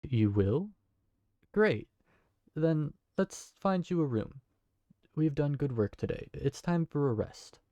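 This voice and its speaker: A man's voice: on the high side, expressive, slow pace, pauses often, mellow, no room sound, muffled recording. A man playing a young man who just inherited a magical tavern, speaking in a polite, earnest, slightly flustered way. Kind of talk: fantasy audio drama acting.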